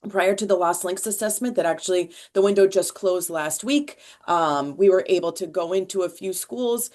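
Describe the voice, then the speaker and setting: Soft-spoken woman presenting to a committee. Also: on the low side. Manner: measured, with frequent fillers. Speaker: multilingual learner department staff presenter.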